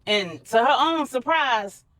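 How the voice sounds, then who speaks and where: A woman narrating a story for adults in a dramatic, deliberate way, with measured phrasing. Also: high voice, lively intonation, fairly bright tone. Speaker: storyteller, host.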